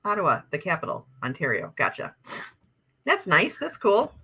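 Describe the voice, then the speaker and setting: Bubbly Upper Midwest woman, lively pitch. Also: normal speed, slightly rough. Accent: Upper Midwest American. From Wisconsin, USA. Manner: bubbly, chatty, exclamatory. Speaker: livestream host. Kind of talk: casual live-stream chat reading viewer comments.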